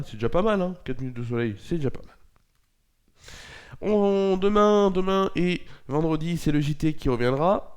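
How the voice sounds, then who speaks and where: A man's voice: on the high side, smooth. A young man presenting a local news and weather bulletin with a stuffy nose from a cold, often hesitating and correcting himself. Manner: hesitant, frequent fillers and self-corrections.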